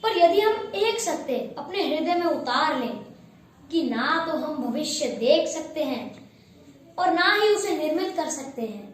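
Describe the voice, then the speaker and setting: A woman performing a monologue as a calm, wise divine teacher, speaking slowly and reflectively. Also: high-pitched. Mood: serene. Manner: slow, reflective, preaching. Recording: very echoey room.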